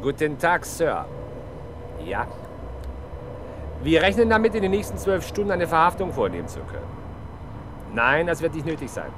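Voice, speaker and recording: An actor playing a dry, wry police inspector who gives clipped orders in a 1970s German radio crime drama. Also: male voice, on the high side, very animated, moderate pace, long pauses. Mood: polite, businesslike. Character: wry male police inspector, brisk and commanding. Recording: noisy radio drama scene, street ambience.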